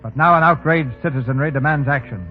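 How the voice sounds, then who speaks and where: A man's voice: mid-range voice, quick pace, faint music behind. A man hosting and narrating a 1930s radio drama in a formal, dramatic scripted style. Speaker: host and narrator. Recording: tinny phone-like 1930s radio recording.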